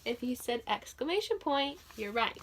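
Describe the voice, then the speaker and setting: A woman's voice: a little high, very animated, normal speed, a bit bright, a little hiss or hum. A woman teaching young children through a read-aloud, telling the story expressively and putting simple, encouraging questions to her listeners.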